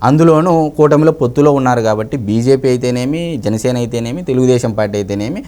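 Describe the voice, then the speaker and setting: Guy getting interviewed on politics, normal pitch, talks emphatically and repeats himself to make the point. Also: quick pace, mellow. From Andhra Pradesh, India. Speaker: interviewee, member of the public giving political opinions. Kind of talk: conversational political commentary.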